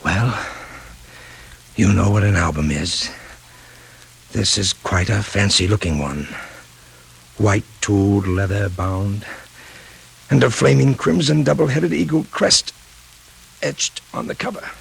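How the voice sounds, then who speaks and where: An actor playing a sly, ailing veteran newspaperman with a husky, halting, weary voice in 1940s radio style. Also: lowish voice, heavily acted, poor audio. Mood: sly, tired. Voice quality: gravelly, husky. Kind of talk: 1940s radio drama acting.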